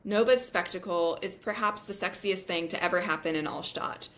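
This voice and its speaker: Lower-pitched woman reading stage directions. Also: normal speed, fairly bright tone. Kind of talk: scripted narration at a table read.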